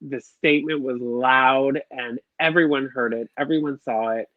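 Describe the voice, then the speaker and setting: A man's voice: medium pitch, fairly even intonation, moderate pace, no room sound. A man co-hosting a casual review chat, gushing and bubbly, full of "like" fillers. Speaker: podcast co-host. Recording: dull lo-fi dry sound.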